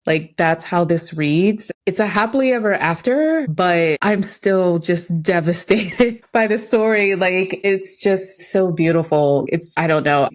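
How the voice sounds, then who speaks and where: Low-pitched woman chatting candidly. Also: a little slow, few pauses. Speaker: podcast co-host. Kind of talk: casual conversational podcast chat.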